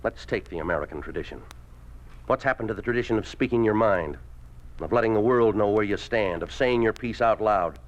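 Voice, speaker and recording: An actor in a 1950s radio drama playing a smooth, domineering labor official who talks in a curt, commanding, persuasive way. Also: male voice, lowish voice, brisk, close to an everyday voice. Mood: slick, pressing. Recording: muffled, noisy 1950s radio recording.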